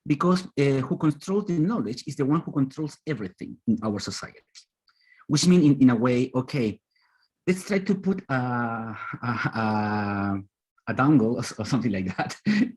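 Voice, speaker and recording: A young man from Chile with a Chilean accent, a native Spanish speaker giving a loose presentation in halting, repetitive phrases. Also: medium pitch, expressive, a little mellow, slightly rough, poor audio. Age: young adult. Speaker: student panelist. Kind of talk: loose, semi-improvised presentation. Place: online video call.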